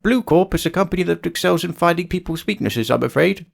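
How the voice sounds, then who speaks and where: Creaky, high-voiced old attorney. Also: male voice, expressive, fairly quick, full character voice. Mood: grave, resigned. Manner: blustering, old-fashioned, stammering when flustered. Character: blustery, troubled old male attorney, grandfatherly. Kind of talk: dramatic video game character acting.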